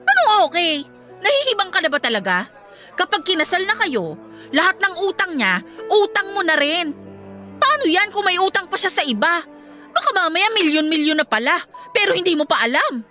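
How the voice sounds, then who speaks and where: High, bright, blunt best friend. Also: female voice, sing-song, moderate pace, full character voice. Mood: exasperated scolding. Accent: Filipino. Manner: scolding, emphatic, straight-talking. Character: blunt, exasperated best friend, a woman with a cheating ex. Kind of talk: Filipino radio drama acting. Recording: music playing behind the dialogue.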